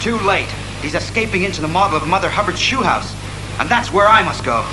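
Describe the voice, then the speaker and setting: A man playing a stern, analytical masked detective, speaking resolutely and deliberately in a superhero audio drama. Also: high voice, lively intonation, very noisy. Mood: tense, watchful. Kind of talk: superhero radio drama acting.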